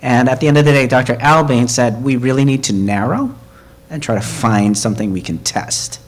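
A man's voice: medium pitch, fairly quick. A man chairing a committee at a hybrid meeting, talking conversationally with fillers and restarts.

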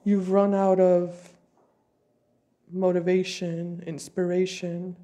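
A woman with a low, mellow voice lays out tarot cards and talks her viewers through them. She is fighting a cold, and she speaks in slow, deliberate, intuitive phrases from a table at home. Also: level tone. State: has a cold. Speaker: tarot reader.

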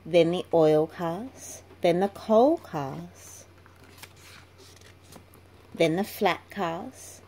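Woman reading a kids book out loud, really slow, average pitch, noisy home recording. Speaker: children's book reader. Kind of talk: slow read-aloud storytelling.

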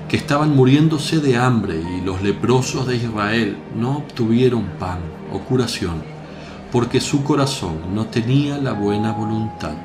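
Guy acting a calm solemn holy preacher, radio drama, deepish voice, music clearly behind. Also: natural voice.

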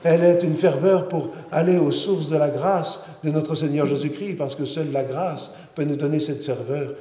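Middle-aged priest preaching, fairly high voice, lots of restarts, big echoey church. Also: male voice, fairly even intonation, normal speed, warm tone. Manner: earnest, many restarts and repetitions. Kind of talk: sermon. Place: large church interior. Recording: roomy church with long reverb.

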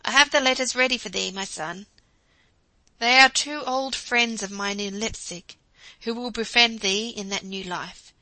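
Lady reading as a kindly old professor, warm and earnest, bright voice, dry sound. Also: fairly high pitch, measured pace, dry recording, exaggerated voice, rough recording. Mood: genial. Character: grey-haired genial older German professor, man.

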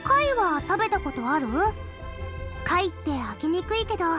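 A woman's voice: very high-pitched, sing-song, moderate pace, over music. A woman playing a kindly, cheerful teenage girl cook in an anime-style game, girlish, friendly and easygoing. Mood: warm, easygoing.

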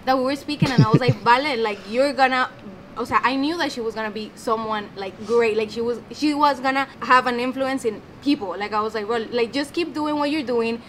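A woman's voice: on the high side, brisk. A young woman with a Colombian Spanish accent giving a heartfelt toast at an outdoor poolside party, searching for her words in English, Spanish being her first language. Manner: searching for words, animated hand gestures. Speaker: friend giving a speech. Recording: party crowd noise behind. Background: chatter and laughter of guests.

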